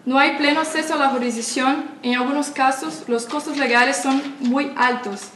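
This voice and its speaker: A woman's voice: high voice, steady tone, moderate pace, fairly bright tone, far from the mic, a little hiss or hum. Brazilian woman speaking in an echoey hall. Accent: Brazilian Portuguese. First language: Portuguese. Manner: earnest, declamatory, some slips and restarts. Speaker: activist speaker. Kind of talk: formal speech at an event.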